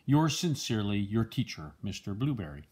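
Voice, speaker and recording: An older man with a husky voice reads a children's picture book aloud in a gentle, measured storytelling manner. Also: fairly low pitch, big pitch swings, noisy background. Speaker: storybook reader, a grandfather.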